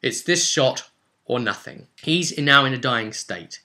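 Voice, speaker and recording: A man's voice: mid-range voice, animated, a little slow, pushed and bright. A man narrating a tabletop fantasy game as game master, dramatic and intense, repeating phrases for emphasis.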